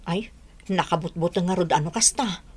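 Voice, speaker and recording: An actress playing a nervous, sympathetic, gossipy village neighbor, chatty and dramatic in an Ilocano radio drama. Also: female voice, lowish voice, normal speed, slightly rough. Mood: concerned, gossiping.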